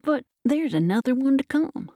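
A woman's voice: high-pitched, sing-song, normal speed, mellow, dry recording, clean studio sound, voice pushed up, full character voice. A woman voicing a mean, grasping old book salesman, insistent and legalistic, in a storybook reading.

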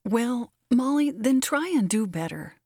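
Woman playing a mellow, faint-hearted household mistress. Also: mid-range voice, sing-song, moderate pace, no room sound, clean studio sound. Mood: trying sternness. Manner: hesitant, groaning, trying to be firm. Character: Miss Matilda, large, faint-hearted, reluctantly stern mistress.

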